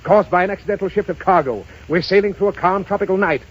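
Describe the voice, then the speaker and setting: An actor playing a brash, self-absorbed globe-trotting reporter and husband, with breezy declamation in a 1930s radio drama style. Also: male voice, high voice, quick pace, husky. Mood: breezy, showy. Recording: muffled, hissy 1930s radio broadcast.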